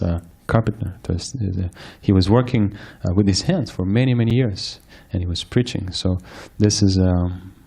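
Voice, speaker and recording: A man giving a scripture lecture, soft-spoken and hesitant, with frequent fillers and restarts. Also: sits low, vocal fry. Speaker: speaker giving a scripture class. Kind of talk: sermon-style lecture. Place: temple hall with live audience.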